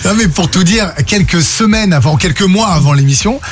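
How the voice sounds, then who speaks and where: A man's voice: sits high, expressive, fairly quick. A man hosting a morning radio show, chatting and telling stories with lots of fillers. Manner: chatty, storytelling, many fillers like bah.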